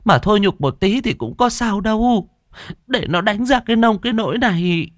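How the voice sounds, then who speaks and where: A man's voice: expressive, dry recording, clean studio sound. A man putting on a flustered chiding village woman. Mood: fretful. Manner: high, quick scolding chatter.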